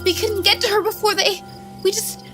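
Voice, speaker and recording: An actress playing an earnest, protective peacemaker among runaways in an audio drama. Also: female voice, extremely high pitch, fairly even intonation, moderate pace, pushed and bright. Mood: grave, sorrowful. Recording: live-streamed audio play with music bed.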